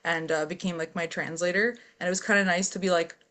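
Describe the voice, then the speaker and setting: Lower-voiced woman telling her story. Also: fast talker, noisy background. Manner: relaxed, open storytelling with fillers. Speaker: metal vocalist, guest. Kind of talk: casual interview chat.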